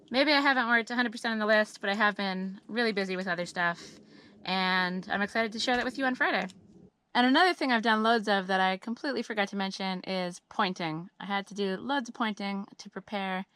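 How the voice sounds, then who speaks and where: A woman giving a chatty, upbeat monthly update on her homestead work, her voice a little scratchy and hoarse, with frequent ums and uhs. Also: medium pitch, pushed and bright. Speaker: homesteading vlogger. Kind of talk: casual monthly update vlog.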